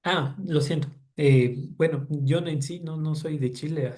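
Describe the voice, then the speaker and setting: A man's voice: fairly high pitch, measured pace, darkish tone. A man from Ecuador with an Ecuadorian accent, a doctoral student answering questions at an academic seminar in an informal, explanatory way. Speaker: doctoral student presenting research.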